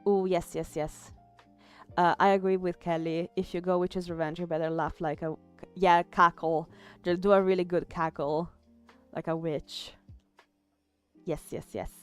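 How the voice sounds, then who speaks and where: A woman's voice: on the low side, fairly even intonation, unhurried, pauses often, clean tone, soft background music. A woman with an Italian accent, chatting casually on a live art stream, reading chat aloud and trailing off with fillers. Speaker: artist streamer.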